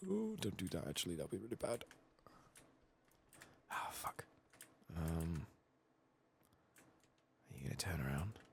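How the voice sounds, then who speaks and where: Male gaming streamer, soft-spoken and half-whispered, gravelly with lively swings in pitch, casually sweary running murmur. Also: measured pace, long pauses, slight background noise. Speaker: streamer playing a video game.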